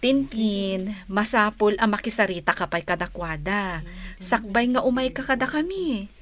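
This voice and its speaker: A woman's voice: medium pitch, expressive, normal speed, a bit bright, natural voice. A woman playing a sensible, sympathetic elder-sister fruit trader in an Ilocano radio drama. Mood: practical.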